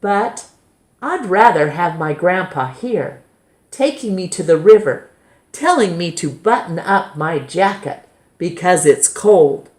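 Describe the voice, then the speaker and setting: A woman reading a children's picture book aloud in a measured, gently expressive way. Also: on the low side. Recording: roomy, echoing indoor sound.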